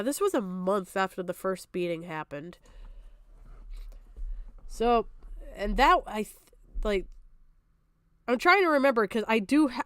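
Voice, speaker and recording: A woman's voice: fairly high pitch, a bit bright, smooth, no room sound, clean studio sound. A woman streamer giving live reaction commentary in a combative, sarcastic way, swearing heavily.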